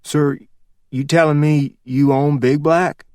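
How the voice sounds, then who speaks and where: A man voicing a slow-witted young man from who sells moonshine and answers politely. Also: fairly high pitch, clear, resonant tone, no room sound, studio quality. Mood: dim. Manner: flat, dim, deferential.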